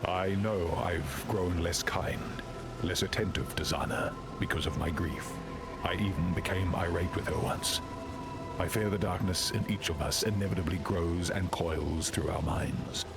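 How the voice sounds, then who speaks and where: A man's voice: low voice, a little slow. An actor playing a brooding, grieving father in a fantasy game, delivering a grave, reflective monologue. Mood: mournful, brooding. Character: brooding, grieving father weary of human folly. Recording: loud music over the voice.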